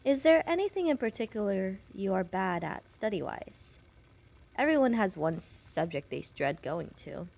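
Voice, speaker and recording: A woman's voice: mid-range voice, very animated, normal speed, gravelly, a little mellow. A woman voicing a shy, kind young witch who works as an assistant nurse, chatty and apologetic, in an audio roleplay for one patient. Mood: chatty, friendly. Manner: rambling, apologetic, nervously giggly.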